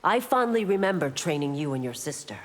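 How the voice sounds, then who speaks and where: An actress playing a stern, loyal police constable in a video game, speaking in a measured, formal and firm way. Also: female voice, sits low, big pitch swings, very noisy. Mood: formal, respectful. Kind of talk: video game dialogue acting. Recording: long hall-like reverb.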